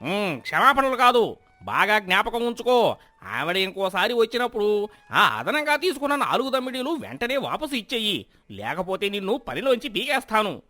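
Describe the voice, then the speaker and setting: A man voicing a scheming, falsely pious village moneylender in a loud, theatrical way for a moral story. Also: sits high, big pitch swings, moderate pace, no echo, exaggerated voice. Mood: mock outrage. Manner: loud, theatrical scolding and protesting. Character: sanctimonious, scheming male village moneylender feigning piety.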